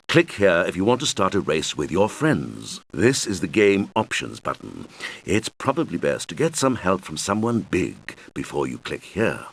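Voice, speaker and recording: A man voicing a suave, showy island owner and racing champion who guides players through a video game in a theatrical, deliberate, instructive manner. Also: low-pitched, a bit bright, heavily acted. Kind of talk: scripted game tutorial voice-over.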